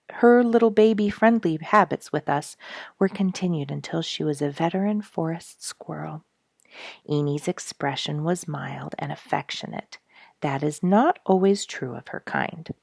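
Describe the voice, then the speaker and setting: A woman narrates a storybook in a low register with some fry in her tone. The sound is dry and close. She reads expressively, placing emphatic stresses on the words that carry the story. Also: darkish tone, dry recording. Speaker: story reader and show host.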